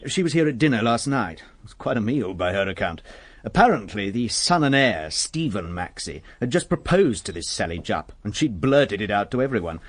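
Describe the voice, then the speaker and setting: An actor playing a wry, informal police superintendent in a radio drama, with a gossipy manner. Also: male voice, lowish voice, big pitch swings, normal speed, no room sound. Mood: gossipy briefing.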